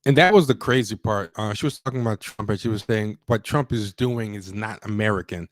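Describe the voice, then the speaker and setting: A man with a fairly low voice hosts a casual livestream. He talks through news commentary loosely, stopping, restarting and wandering between points. The sound is dry, with very little room echo. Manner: rambling, halting, self-interrupting. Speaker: livestream host.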